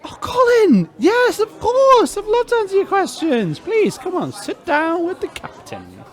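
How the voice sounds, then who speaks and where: Insecure poetic space captain, rambly and dramatic, voice jumps all over, radio drama. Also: male voice, very high voice, huge pitch swings, unhurried, full character voice. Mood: effusive, tipsy. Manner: rambling, theatrical. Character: floundering, self-doubting, poetic colony ship captain. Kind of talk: sci-fi radio drama acting.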